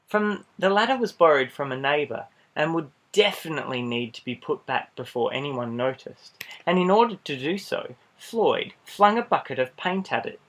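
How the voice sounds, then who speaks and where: A man reading a children's picture book aloud in an animated style, with dramatic pauses and playful asides to young listeners. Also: on the high side. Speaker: storybook reader.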